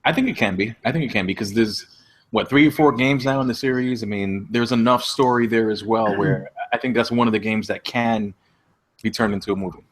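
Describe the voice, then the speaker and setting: A man in a casual panel discussion on a video call, giving his opinion in a hesitant, measured way. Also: lowish voice. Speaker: podcast panelist.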